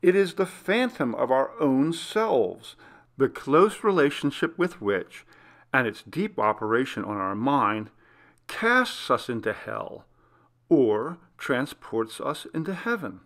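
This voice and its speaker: A man reading a classic Gothic story aloud as scripted narration, in a measured, formal literary manner. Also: mid-range voice, very animated, a bit bright. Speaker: audiobook reader.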